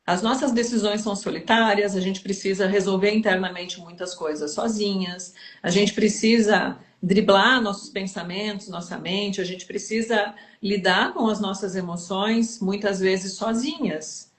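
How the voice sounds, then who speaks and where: Mulher gaúcha explicando com calma. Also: female voice, mid-range voice, moderate pace, few pauses. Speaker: guest speaker. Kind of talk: explanatory conversation. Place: home kitchen livestream. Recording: roomy echo.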